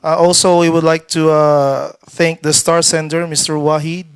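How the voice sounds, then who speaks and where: A man casually hosting a live-streamed panel, reading questions and shout-outs in a hesitant, halting way. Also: fairly high pitch, level tone, clear, resonant tone.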